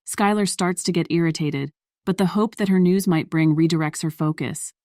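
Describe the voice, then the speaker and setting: Female narrator, deep register, scripted fiction read, dry close recording. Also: normal speed, a little mellow, dry recording.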